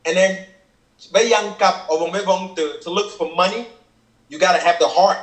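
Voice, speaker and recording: A man's voice: high voice, normal speed. Guy, kenyang is his first tongue, breaks down his bars, keeps saying you feel me, kinda echoey. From Cameroon. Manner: earnest, explains at length, keeps asking "you feel me". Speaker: rapper explaining his lyrics. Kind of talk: casual reaction chat. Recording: echoey room.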